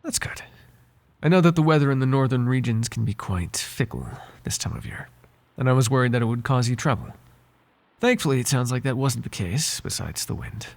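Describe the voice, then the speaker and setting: A man playing a devoted, flirtatious butler with a secret in an intimate ASMR roleplay, speaking softly in polite, formal phrases with halting hesitations. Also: mid-range voice, expressive, close to an everyday voice. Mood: gentle concern. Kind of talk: ASMR roleplay voice acting, intimate one-sided dialogue.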